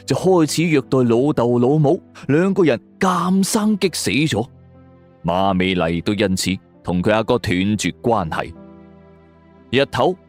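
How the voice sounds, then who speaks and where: A man narrates a scripted crime and ghost story in a suspenseful, theatrical way. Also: lowish voice. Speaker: audio drama narrator. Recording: music bed under the voice. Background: background music.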